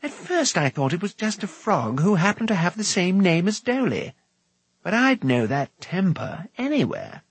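A man's voice: high voice, very animated, normal speed, breathy edge, no room sound, voice pushed up, heavily acted. A man voicing a whimsical, talkative bard for an audiobook, with an airy, wry, rambling manner. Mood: amazed. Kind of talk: audiobook character voice.

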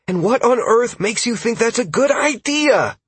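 A man voicing an exasperated male mage adventurer in an audiobook, loud and incredulous. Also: very high-pitched, expressive, a little slow, slightly rough, voice pushed up, heavily acted.

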